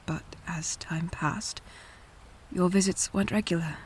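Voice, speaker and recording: A woman acting a serious, probing investigator in an audio drama, with a breathy voice and a measured, insistent manner. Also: fairly low pitch, fairly even intonation, darkish tone, a little hiss or hum, close to an everyday voice. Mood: grave, confessing.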